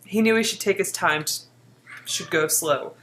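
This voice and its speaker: A woman's voice: on the low side, moderate pace, fairly bright tone, a little hiss or hum. A woman reading a book excerpt aloud informally, with a playful, giggly manner and off-the-cuff asides. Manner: giggly, playful, often breaking off.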